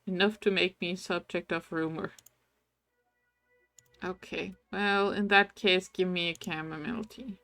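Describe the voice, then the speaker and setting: A woman's voice: lowish voice, fairly even intonation, a little slow, a bit bright, dry recording, soft background music. A woman streaming a game, chatting casually and reading its text aloud between her own asides. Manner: coos affectionately at game pets.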